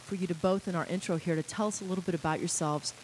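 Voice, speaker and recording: A woman's voice: lowish voice, steady tone, racing, darkish tone, rough recording. A woman hosting a live radio interview show, bubbly and effusive with her guests. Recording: faint tape hiss.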